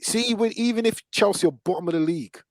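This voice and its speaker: A man's voice: high voice, fast, dry recording. A man with a London accent debating football casually and emphatically, repeating phrases to drive his points home. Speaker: football podcast host.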